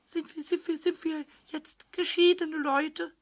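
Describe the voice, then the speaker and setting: A squeaky little imp of a kobold, childlike and emotional. The voice is very high and slow, stumbling and repeating itself as it pleads, in the style of a children's radio play. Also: male voice, flat delivery. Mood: remorseful, pleading. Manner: stammering, repeating, plaintive.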